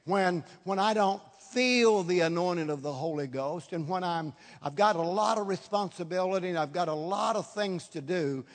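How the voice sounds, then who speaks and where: A man in his seventies delivers a charismatic sermon in a notably high-pitched voice. He repeats phrases for emphasis, and his words ring with the long reverb of a hall. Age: 70s. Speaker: preacher.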